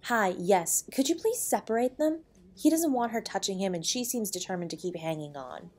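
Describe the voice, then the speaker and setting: A woman playing a protective, loving wife in an intimate audio roleplay, with a breathy voice. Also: mid-range voice, expressive, moderate pace, darkish tone, natural voice. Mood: firm, indignant. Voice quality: breathy, often dropping to a whisper. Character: protective loving wife comforting and defending her husband.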